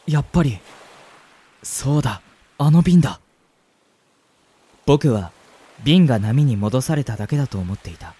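A man playing a gentle, wistful young university student who narrates a radio drama in an earnest, reflective way. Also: medium pitch, expressive, measured pace, long pauses, breathy edge. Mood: earnest, wondering. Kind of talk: radio drama acting with first-person narration.

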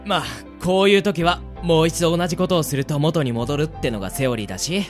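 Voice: mid-range voice, fast talker, soft background music, natural voice. A performer playing an exasperated, wisecracking boy in an amateur comedy radio drama, with dry retorts, muttered asides and startled outbursts. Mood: dry, thoughtful. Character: exasperated, wisecracking boy trapped in a swapped body.

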